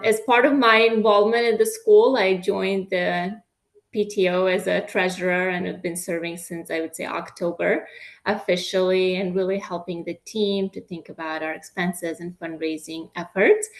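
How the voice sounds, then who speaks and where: Friendly woman with a lowish voice. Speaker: board candidate, accountant. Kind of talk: introducing herself at a video-call board meeting. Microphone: video call.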